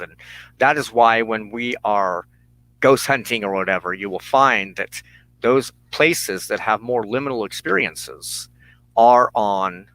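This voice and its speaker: A man hosting a spiritual broadcast, talking reflectively in halting, deliberate phrases. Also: on the low side, pushed and bright, poor audio.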